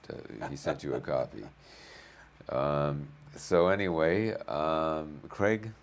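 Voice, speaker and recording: A man hosting an interview show, slightly hoarse from a cold, chatty with frequent fillers. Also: very deep voice, slow. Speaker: host.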